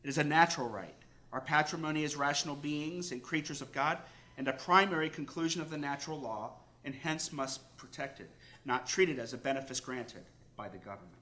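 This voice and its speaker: An American man, a priest, reading a formal paper aloud to a conference in a measured, emphatic, oratorical way. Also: a little high, bright, forceful tone. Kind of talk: formal read-aloud lecture.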